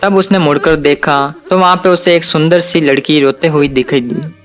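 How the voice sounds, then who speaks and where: A man's voice: on the high side, fairly even intonation, normal speed, faint music behind. A man narrating a scripted cartoon folk tale for children as storyteller.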